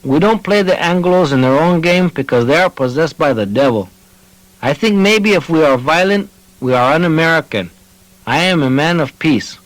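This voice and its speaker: An elderly, peaceable villager, voiced by a male reader in an audiobook novel. He speaks gravely in a raised pitch, recorded dry. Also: expressive, moderate pace, dry recording, natural voice, low-quality recording. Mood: solemn.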